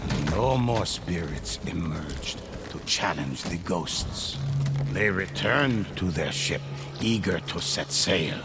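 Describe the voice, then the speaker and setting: Slow solemn guy narrating a game, gravelly low voice. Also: animated, over loud music. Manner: measured, solemn storytelling.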